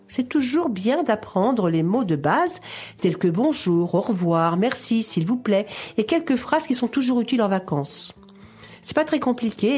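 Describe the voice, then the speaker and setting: Husky-voiced woman reading a column. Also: mid-range voice, darkish tone. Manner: measured, reassuring, explanatory. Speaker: radio columnist. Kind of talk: reading a scripted opinion column.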